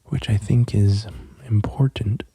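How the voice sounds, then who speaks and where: A man speaking in a soft, breathy near-whisper in an ASMR style, gentle and unhurried with long pauses, close to the microphone. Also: on the low side, steady tone. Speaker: host of an ASMR channel. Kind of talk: soft-spoken ASMR, musing and poem reading.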